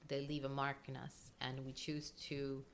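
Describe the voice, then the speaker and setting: Low-voiced Colombian woman, open and emotional. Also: level tone, moderate pace, a little hiss or hum. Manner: open and emotional, frequent ums and laughs. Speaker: podcast guest, breathwork coach. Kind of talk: casual interview chat.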